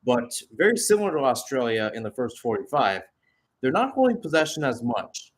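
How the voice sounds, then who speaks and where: A man's voice: mid-range voice, big pitch swings, a little fast. A man giving live play-by-play commentary on a soccer match, conversational between calls with asides and statistics.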